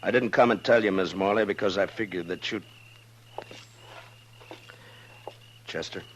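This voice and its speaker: Casting: male actor for deep, deliberate, weary frontier lawman, grave and sparing with words, old radio drama. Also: highs cut off, lightly acted. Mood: guarded. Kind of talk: 1950s radio Western acting. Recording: noisy old radio recording.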